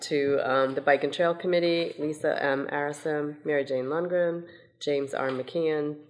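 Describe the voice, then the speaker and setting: A woman's voice: sits low, steady tone, unhurried. A woman council member steadily reading motions aloud at a meeting, with an occasional "um". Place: council chamber, indoors.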